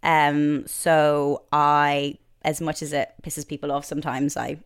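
A woman's voice: low voice, level tone, slow pace, clear, resonant tone. A woman in her thirties with an Irish accent, chatting casually as an interview guest, with lots of fillers and restarts. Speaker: presenter and author, guest.

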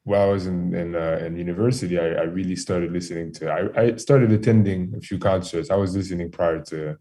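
A man's voice: low voice, a little fast. A man in his thirties with a Haitian accent, French his first language, chatting easily as a guest on a podcast. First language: French and Haitian Creole. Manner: relaxed, easygoing, repeats words as he thinks. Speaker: guest DJ and producer. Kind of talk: casual interview chat.

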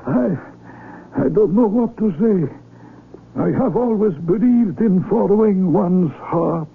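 A man acting in a 1970s radio drama as a gentle, solemn village elder and father, speaking in a measured, kindly, grave way. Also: high-pitched, creaky, a little hiss or hum, full character voice. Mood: tender, troubled. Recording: muffled vintage AM radio recording.

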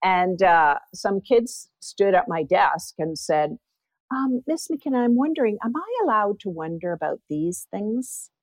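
A woman, a former high school teacher, telling stories as a podcast guest, with a big voice that jumps up in pitch as she gets animated. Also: measured pace, no echo. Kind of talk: conversational interview storytelling.

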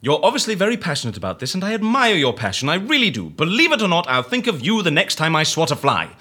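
An actor playing a wry, mocking fixer, theatrical and teasing, in an audio drama. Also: male voice, on the high side, huge pitch swings, quick pace, bright, forceful tone, husky, heavily acted. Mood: sardonic, exasperated. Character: wry, mocking man who helps people disappear.

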